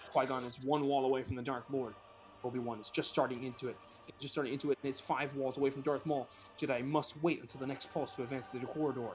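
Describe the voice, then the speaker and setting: A man's voice: mid-range voice, low-quality recording. A man narrating a script informally for an amateur comedy reading, sometimes stumbling over the words.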